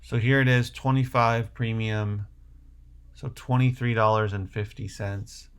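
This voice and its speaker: A man's voice: lowish voice, little pitch movement, measured pace, smooth. A man hosting a casual livestream, answering viewer questions in a rambling way, thinking aloud as he goes. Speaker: livestream host.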